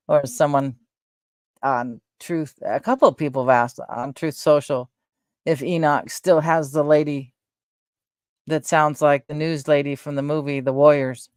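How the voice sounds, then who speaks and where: Middle-aged woman, soft voice, rambling casual chat, trailing off mid-thought, dry close sound. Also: sits low, steady tone, a little slow, no room sound. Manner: meandering, trails off, frequent fillers and self-corrections. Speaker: livestream host.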